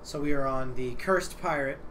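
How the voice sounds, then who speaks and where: A man's voice: medium pitch, moderate pace. A man co-hosting a board game livestream, chatting casually and helping players along. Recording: noisy livestream room ambience.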